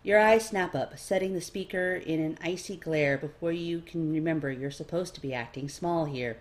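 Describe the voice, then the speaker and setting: A woman's voice: low-pitched, normal speed. A woman reading second-person fiction aloud as a narrator. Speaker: amateur fan fiction reader.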